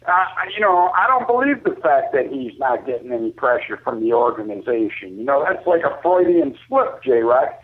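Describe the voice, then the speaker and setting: Muffled, higher-voiced American man talking sports. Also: dry recording. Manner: emphatic, colloquial, repeats himself. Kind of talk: casual sports talk, opinionated commentary. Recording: muffled lo-fi phone-like radio sound.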